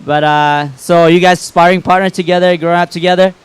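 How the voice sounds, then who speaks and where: A man interviews an athlete at the event, his voice high and notably smooth. He keeps his questions short, friendly and simple. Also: steady tone, fairly quick, no echo.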